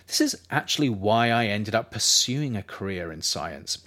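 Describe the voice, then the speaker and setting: A middle-aged man reading his own writing as scripted audiobook narration, in a measured, reflective and articulate manner. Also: fairly low pitch, very animated, sharp tone.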